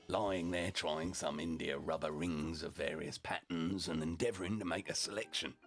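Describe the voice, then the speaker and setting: A male storyteller with a very deep voice and heavy creak reads a comic first-person tale. His phrasing is deliberate, broken by profane asides. Soft music sits behind him. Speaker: storyteller, streamer narrating in character as a deputy. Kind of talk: humorous story reading, dramatic first-person.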